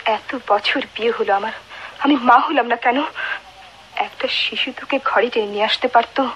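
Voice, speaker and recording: Actress as high-voiced yearning wife. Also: female voice, moderate pace, a bit bright, dull audio, natural voice. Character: yearning, emotional young wife longing for motherhood. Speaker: radio drama actor. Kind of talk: melodramatic radio play acting. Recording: noisy old radio recording.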